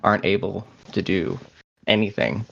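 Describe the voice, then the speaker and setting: A man in his twenties co-hosting a casual podcast, talking in a low-key, somewhat hesitant way. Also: expressive, moderate pace, gravelly, a bit bright. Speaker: podcast co-host. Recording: dull, lo-fi audio.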